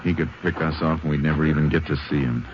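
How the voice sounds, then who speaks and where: An American actor in a 1950s radio drama playing a steady, terse, authoritative Texas Ranger who also narrates the manhunt. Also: male voice, extremely low pitch, fast talker. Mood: low, focused. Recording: muffled, noisy 1950s radio recording.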